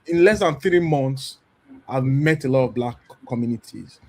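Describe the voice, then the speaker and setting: A Nigerian-accented man in his thirties, speaking on a church panel, telling his story with lively acted-out dialogue. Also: medium pitch, normal speed. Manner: animated storyteller, acts out quoted dialogue. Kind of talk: candid testimony on a church panel.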